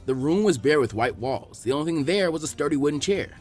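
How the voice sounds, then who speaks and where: A man reading fan fiction aloud as an expressive scripted narration. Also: a little high, quick pace, faint music behind.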